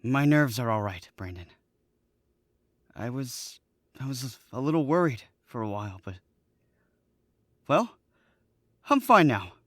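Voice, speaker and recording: Guy doing nervous weak accomplice in old radio play, slow halting talk, jumpy pitch. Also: big pitch swings, dry recording. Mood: nervous, defensive. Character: jittery, guilt-ridden young accomplice, weak and defensive. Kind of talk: old-time radio drama acting.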